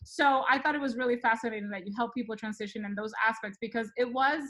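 A woman's voice: on the high side, quick pace, a bit bright. A woman from the Dominican Republic hosting a casual chat, candid and rambling with many fillers. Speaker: host.

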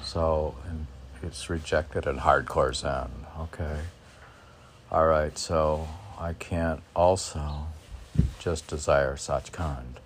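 A man informally reading his poems and commenting on them in a halting, musing way, as if talking to himself. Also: a bass voice, steady tone, slight background noise. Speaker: poet reading and commenting on own poems for a podcast. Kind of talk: informal poetry reading with rambling self-reflective commentary.

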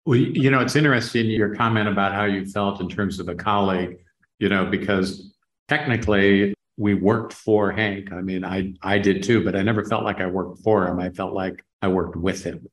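This is a man with a somewhat low voice. He hosts an interview in a conversational style. His questions come informally and ramble a little as he builds them. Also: steady tone, moderate pace, poor audio. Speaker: podcast host, interviewer.

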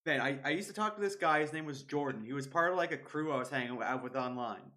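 A man's voice: mid-range voice, animated, fast, a crowd in the background. A young man co-hosting a casual podcast, reminiscing in an easygoing way. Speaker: podcast co-host. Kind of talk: casual chat.

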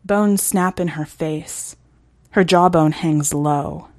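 Slow, low-pitched woman narrating fiction, dry recording. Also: a little mellow, no room sound. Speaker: podcast editor and story reader.

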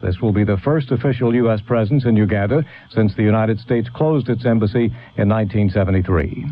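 A man reading a scripted radio news bulletin in a measured, formal way. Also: lowish voice. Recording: dull lo-fi old radio.

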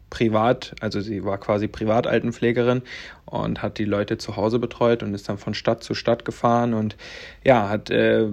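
A young man in his twenties hosts a personal podcast and tells his own story in an earnest, rambling way. His voice sits low, and he leans on plenty of fillers as he goes. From Germany, raised in Latvia. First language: German and Russian, bilingual. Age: 20s. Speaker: podcast host.

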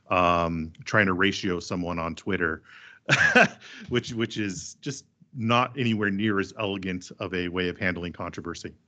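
A man's voice: deep voice, sharp tone, highs cut off. A man who is a priest, speaking in a thoughtful podcast discussion and explaining things at length.

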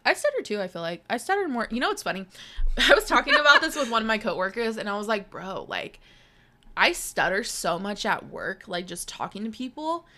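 Chatty young woman, bright voice. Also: mid-range voice, big pitch swings, a little fast. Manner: chatty, swears a lot, lots of "like" and "bro". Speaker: podcast co-host. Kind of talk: casual chat with a friend.

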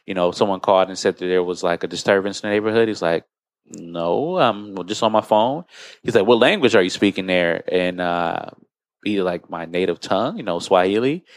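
A man's voice: low-pitched, normal speed, no room sound. An American man hosting a podcast in a conversational way, explaining and recounting things as he talks. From United States. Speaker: podcast host.

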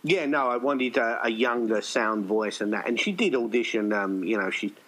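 A man's voice: mid-range voice, lively intonation, normal speed, fairly bright tone, rough recording. A man chatting casually in an interview, rambling and hesitant as he thinks aloud. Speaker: writer-director of an audio play being interviewed.

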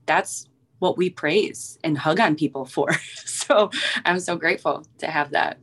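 A woman with a very deep voice talks as a podcast guest. She is enthusiastic and chatty, and she keeps checking in with "right?" as she speaks. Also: a little slow, husky. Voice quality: low voice. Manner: enthusiastic, uses lots of "like" and "right?". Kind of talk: casual podcast chat.

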